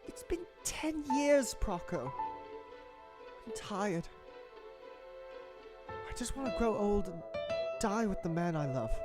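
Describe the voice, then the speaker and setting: A woman's voice: mid-range voice, expressive, slow pace, long pauses, loud music behind the voice. A woman playing a weary but fierce, obsessed adventurer in a comedic audio drama, speaking with dramatic passion. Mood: weary, tender. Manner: dramatic, impassioned.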